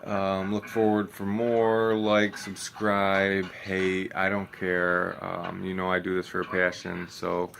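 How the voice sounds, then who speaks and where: A young man vlogging in a casual, low-energy, nearly monotone way, with many ums and restarts. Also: slow pace, vocal fry, few pauses, background noise. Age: young adult. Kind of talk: casual vlog talk to camera.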